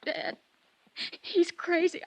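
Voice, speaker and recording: A woman's voice: takes it slowly, no room sound, natural voice. An actress playing a hurt, frightened young woman in a melodramatic vintage radio play, fragile and pleading. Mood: wounded, hushed. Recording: dry vintage radio recording.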